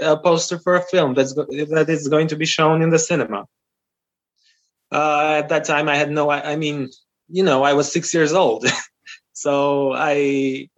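A man in his twenties from North Macedonia whose first language is Albanian, telling a story as a podcast guest with many fillers and restarts. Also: a little high. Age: 20s. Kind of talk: casual interview. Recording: muffled lo-fi audio.

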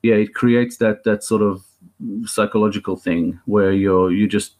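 A man in his thirties with an Israeli accent speaks as an interview guest. His voice sits a little low, and he talks in a thoughtful, halting way, often restarting his sentences. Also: fairly even intonation, darkish tone. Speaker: guest filmmaker. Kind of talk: interview conversation.

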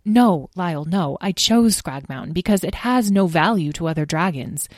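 A woman voicing a patient, weary mother dragon who explains calmly to her children. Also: fairly low pitch, normal speed, no echo, studio quality. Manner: calm, explaining, gentle scolding.

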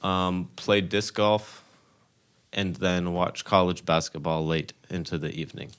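A young American man of eighteen with a fairly low voice. He acts as host in a casual group podcast, talking informally. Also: fairly even intonation, unhurried, a bit bright, clear, resonant tone. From Tennessee, United States.

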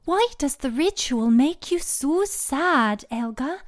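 A woman's voice: high voice, lively intonation, slow pace, voice pushed up. A woman voicing a timid, sensitive little girl with a small, whimpering, childlike delivery. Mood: concerned.

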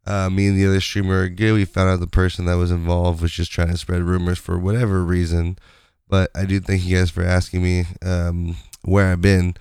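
A man hosting his podcast in a casual, rambling way, his voice raspy from a sinus infection. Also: deep voice, completely flat delivery, a little fast, a little mellow, no echo, studio quality. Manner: rambling, conversational, many fillers. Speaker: podcast host. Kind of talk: casual solo podcast chat.

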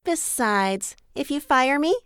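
A woman's voice: high-pitched, animated, takes it slowly, a bit bright, no room sound, studio quality, heavily acted. A woman playing a perky, devoted superhero sidekick in a comedic audio drama, theatrical in delivery. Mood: sweet, businesslike.

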